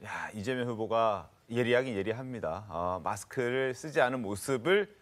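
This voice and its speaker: A man's voice: lowish voice, expressive, moderate pace, slight background noise. A man presenting a news talk show as its host, in a conversational broadcast style. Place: TV studio.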